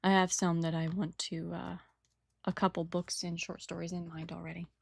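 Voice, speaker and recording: A woman with a raspy morning voice chatting casually and rambling while she paints a model at her desk, on a new microphone without filters. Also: lowish voice, level tone, moderate pace, no room sound, clean studio sound. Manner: rambling, apologetic, trails off mid-sentence. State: early-morning raspiness. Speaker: hobby painter and channel host. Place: home desk setup.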